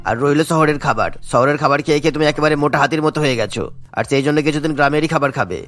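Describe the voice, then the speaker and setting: A man's voice: on the high side, very fast, fairly bright tone, faint music behind, natural voice. An actor playing a firm middle-aged father in a cartoon story, insistent and given to lecturing. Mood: stern. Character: firm middle-aged father, village-born doctor, fond of home. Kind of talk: cartoon character acting.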